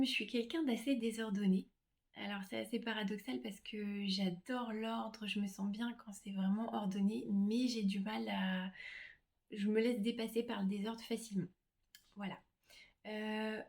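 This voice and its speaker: A middle-aged woman introduces herself to her clients in a soft, unhurried way. She hesitates often and fills the gaps with small pauses as she goes. Her voice sits at an ordinary middle pitch. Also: vocal fry. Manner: gentle, hesitant, many pauses and fillers. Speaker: reflexologist. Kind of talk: casual self-presentation to clients.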